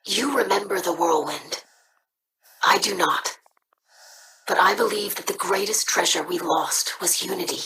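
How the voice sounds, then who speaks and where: An actress playing an earnest, curious young alien scholar in a video game. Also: female voice, lowish voice, measured pace, long pauses, pushed and bright, low-quality recording. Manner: earnest, eager.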